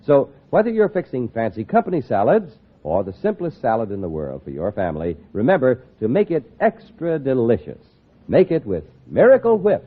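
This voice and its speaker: A man's voice: medium pitch, wildly swooping pitch, normal speed. A man with an American accent, a polished, emphatic 1940s radio announcer reading advertising and narration. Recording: thin, phone-like old radio recording.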